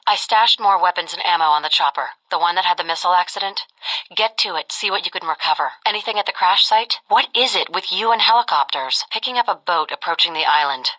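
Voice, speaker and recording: A woman's voice: on the low side, bright, forceful tone, rough recording. An actress playing a cool, wry, self-possessed woman photojournalist and sniper in a video game. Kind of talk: video game voice acting, naturalistic dialogue.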